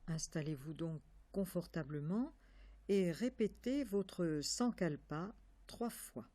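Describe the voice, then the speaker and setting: Very slow-paced woman guiding relaxation. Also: on the low side. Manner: calm, measured, instructing in short separate phrases. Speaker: yoga nidra instructor. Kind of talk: guided relaxation meditation.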